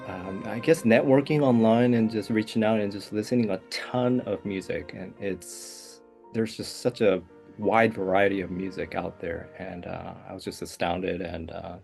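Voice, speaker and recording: A man, a composer answering interview questions in a soft, hesitant way with many fillers. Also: low-pitched, fairly even intonation, normal speed, vocal fry, faint music behind. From South Korea. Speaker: guest composer.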